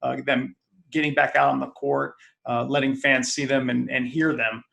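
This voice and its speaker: A man speaking as a guest in a casual sports discussion, in a measured, hesitant way. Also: mid-range voice, flat delivery, creaky, fairly bright tone, lo-fi sound. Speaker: fan site founder guest.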